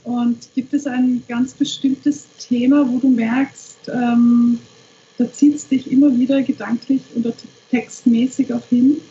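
A woman interviewer asking short, calm questions. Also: fairly high pitch, measured pace. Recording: dull lo-fi sound.

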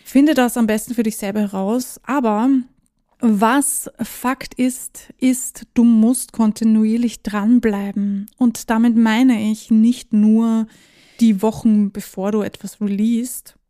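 A woman's voice: fairly high pitch, fairly even intonation, takes it slowly, warm tone. A woman hosting her own podcast in casual solo talk, chatty, dropping in English phrases and laughing now and then. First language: German. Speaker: podcast host.

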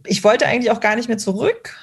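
A woman's voice: a little high, fast, breathy edge. A woman in her thirties talking casually as an interview guest, chatty and full of fillers and restarts. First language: German.

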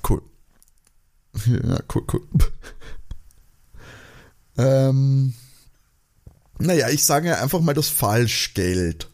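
A man chatting casually as a podcast co-host, joking and rambling as he thinks out loud. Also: medium pitch, big pitch swings, very slow, pauses often. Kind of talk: casual chat, guessing along with an audio drama.

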